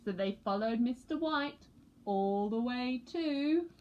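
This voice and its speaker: A woman reading a children's picture book aloud with expressive narration. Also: on the high side, slow, highs cut off, a little hiss or hum. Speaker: storybook reader for a school.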